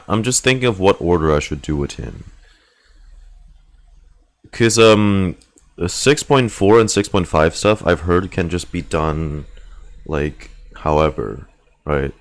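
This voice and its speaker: A man with a very deep voice talks casually on a gaming livestream. He speaks slowly and rambles as he thinks out loud, with the occasional offhand swear. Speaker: game streamer.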